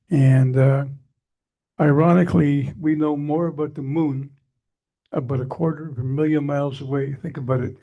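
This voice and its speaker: Older man with a scratchy, gravelly voice. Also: medium pitch, warm tone. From Canada. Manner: chatty, jokey and digressive. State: scratchy from allergies. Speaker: guest speaker. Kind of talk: public talk to a society meeting.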